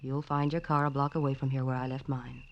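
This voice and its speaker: A woman's voice: very deep voice, level tone, fast, vocal fry, highs cut off, a little hiss or hum. An actress playing a cool, menacing woman with a gun, curt and mockingly polite, in old radio drama style. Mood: cold, commanding. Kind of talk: 1940s-50s radio detective acting.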